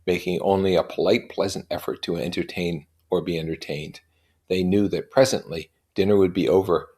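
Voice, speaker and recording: A man reading a novel aloud and adding informal explanatory comments in a deliberate manner. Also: sits low, a little mellow. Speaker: reader and commentator.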